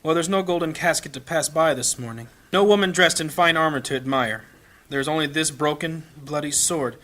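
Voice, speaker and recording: A man's voice: a little high, sharp tone. A man playing a grave, blunt fantasy priest who preaches in an oratorical, questioning way in an audio drama. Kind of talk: dramatic funeral sermon.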